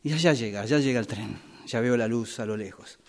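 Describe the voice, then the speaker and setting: A man acting a pensive, weary waiting passenger in a radio drama, halting and repeating his phrases. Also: mid-range voice, darkish tone, natural voice. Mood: hopeful, wide-eyed. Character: brooding, weary man waiting years for a train.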